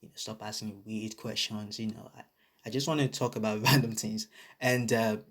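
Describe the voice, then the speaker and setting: A man's voice: fairly low pitch, fairly even intonation, normal speed, a bit bright. A man from Nigeria with a Nigerian accent, ranting bluntly to camera in a casual vlog, swearing often and repeating his points for emphasis. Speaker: vlogger. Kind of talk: casual opinion rant to camera.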